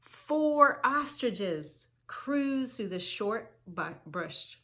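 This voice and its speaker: A woman hosting a children's yoga read-along, teaching in an emphatic, sing-song way with drawn-out key words and questions. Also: on the high side, very animated, bright, forceful tone. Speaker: children's yoga story-time host. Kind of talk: read-along lesson for young children, narrating and instructing.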